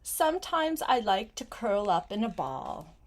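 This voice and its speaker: An older woman, a grandmother, reads a picture book aloud to a young child, gently adding simple asides and questions. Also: mid-range voice, big pitch swings, measured pace, noisy background. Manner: gentle, simple child-directed talk with questions and short phrases.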